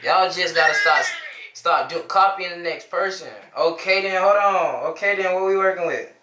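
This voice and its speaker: A man's voice: high voice, normal speed, roomy sound. A man in his twenties with a Midwestern American accent, chatting casually and joking with strangers on a video chat app. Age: 20s. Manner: slangy, joking, sings and shouts at times.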